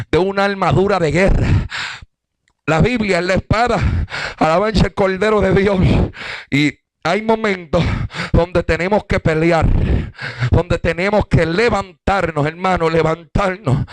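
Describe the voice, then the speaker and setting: A man's voice: high-pitched, dry recording. A middle-aged man with a Puerto Rican accent preaching an impassioned sermon, emphatic, repeating phrases and punctuating them with praise. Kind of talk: impassioned Pentecostal sermon with testimony.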